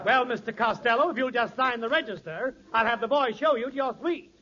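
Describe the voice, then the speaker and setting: Bright, snooty high-voiced hotel clerk. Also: male voice, fairly quick. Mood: fussy, courteous. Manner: prim, haughty. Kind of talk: 1940s radio comedy acting. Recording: muffled old radio recording.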